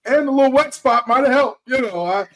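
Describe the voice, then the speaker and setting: A man's voice: very high voice, a little fast, fairly bright tone. A man hosting a sports podcast, opening with wry, teasing remarks. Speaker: podcast host. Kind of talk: casual sports talk.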